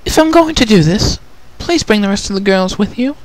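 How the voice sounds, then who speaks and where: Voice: mid-range voice, expressive, a little fast, voice pushed up, lightly acted, poor audio. A performer voicing a refined, ladylike female pony in a cartoon fan story, with a genteel, dainty, theatrical manner. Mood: pleading.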